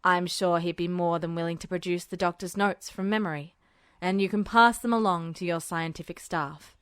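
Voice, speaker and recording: A woman voicing a composed male officer who speaks in a measured, restrained way. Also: lowish voice, fairly even intonation, a bit bright, clear, resonant tone, no echo, studio quality, pitched below the performer's own voice, natural voice.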